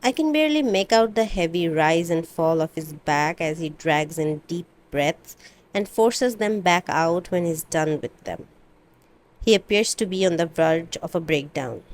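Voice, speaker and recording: A woman reading a first-person novel aloud in an expressive, dramatic amateur audiobook style. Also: fairly low pitch, a little slow, rough recording. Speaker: amateur book reader.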